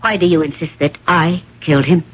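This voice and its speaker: A woman playing a proud, worldly older stage actress with a low, husky voice and poised, theatrical delivery, in old-time radio drama. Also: fairly even intonation, fairly quick, slight background noise, lightly acted. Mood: cool, guarded. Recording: dull, muffled vintage radio recording.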